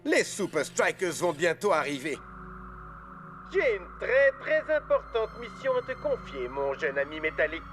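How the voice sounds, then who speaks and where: High-pitched, scheming cartoon villain. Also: male voice, sing-song, moderate pace, fairly bright tone, faint music behind. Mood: sly, commanding. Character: scheming, gleeful male villain plotting against the team. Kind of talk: French cartoon dub acting.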